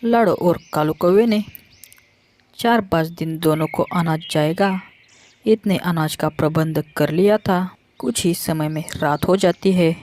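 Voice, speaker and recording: A woman reading scripted narration for a children's animated story. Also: on the low side, a little slow.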